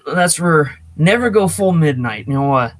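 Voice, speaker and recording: A man's voice: fairly high pitch, lively intonation, moderate pace, slight background noise. A man hosting a casual livestream, talking off the cuff and thinking aloud as he goes. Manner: off-the-cuff, thinking aloud while reading chat. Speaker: livestream host.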